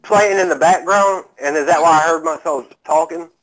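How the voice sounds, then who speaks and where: A man's voice: a little high, normal speed, a bit bright, rough recording. A man co-hosting a live stream in upbeat, chatty talk. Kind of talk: casual chat.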